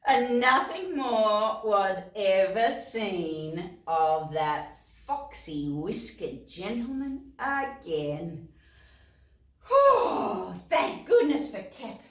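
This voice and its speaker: Very animated woman storyteller, roomy sound. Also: medium pitch. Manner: expressive, dramatic gasps and exclamations. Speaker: children's storytime presenter. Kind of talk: animated read-aloud storytelling with asides to young children. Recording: roomy echo, distant mic, music.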